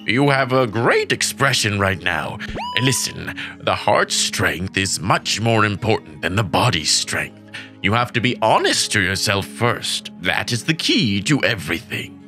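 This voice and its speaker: Slow, swooping old hermit master. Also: male voice, fairly low pitch, very animated. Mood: solemn. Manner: sagely, slow, playful. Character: eccentric, cheerful old male hermit training master. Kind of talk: English-dubbed manga romcom acting. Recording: music behind the voice.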